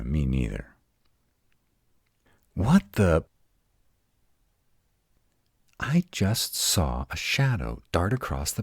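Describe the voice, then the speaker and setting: A man playing a gruff, protective, uptight male were-dragon in an intimate roleplay audio drama, halting and dramatic. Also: low voice, a little mellow, dry recording, clean studio sound, exaggerated voice. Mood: protective, wary, then tense, puzzled. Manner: halting, dramatic, slow delivery with emphatic outbursts.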